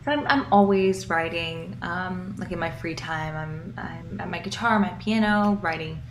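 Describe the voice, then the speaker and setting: A woman's voice: lowish voice, measured pace. A young woman singer-songwriter with a New York accent answering interview questions over a video call. She is upbeat and enthusiastic, often repeating a word as she gets a thought going. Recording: echoey room, faint background noise.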